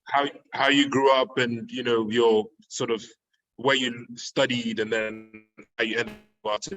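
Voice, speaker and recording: A man's voice: mid-range voice, steady tone, a little slow, fairly bright tone, distant mic, a little hiss or hum, low-quality recording. A man hosting a podcast interview, asking casual questions padded with fillers. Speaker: podcast host.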